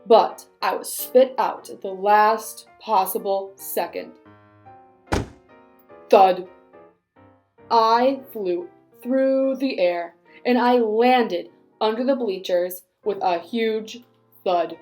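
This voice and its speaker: Rueful storybook seed, acted by a woman, theatrical and unhurried, music underneath. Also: medium pitch, a little mellow, natural voice. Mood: dramatic. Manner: theatrical, drawn-out delivery. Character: small reformed bad seed, male, dramatic and rueful. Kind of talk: expressive children's picture-book read-aloud.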